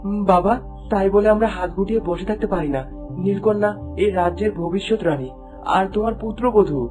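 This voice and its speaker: A man's voice: high-pitched, a little fast, music in the background, natural voice. A man voicing an anxious, determined young prince in a fairy-tale cartoon, respectful and pleading.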